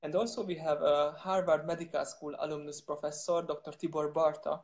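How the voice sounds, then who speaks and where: A man's voice: fairly high pitch, level tone, a little fast, a little mellow. Man speaking in an echoey room. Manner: hesitant, many restarts and fillers. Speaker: startup co-founder. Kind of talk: interview answers.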